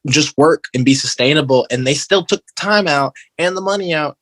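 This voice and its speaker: A man's voice: a little high, a little fast, a bit bright, no room sound. An American man talking casually as an interview guest, earnest and informal, with slangy phrasing and lots of "like". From American Midwest. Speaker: podcast guest, band guitarist.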